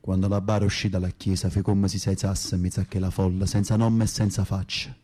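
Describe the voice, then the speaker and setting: An actor with a thick Neapolitan accent playing a brooding, hardened mafia henchman in prison in a radio drama, gruff and blunt in dialect. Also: deep voice, flat delivery, a little fast, dark tone. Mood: bitter, angry. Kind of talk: Italian radio theatre acting.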